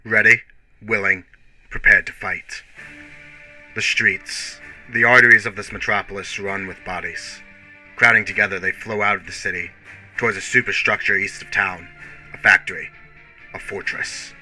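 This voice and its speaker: A man's voice: fairly low pitch, steady tone, normal speed, bright, forceful tone, rough recording. A man giving a grave, theatrical and emphatic scripted narration in the style of a radio play. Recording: music bed under the voice. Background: music.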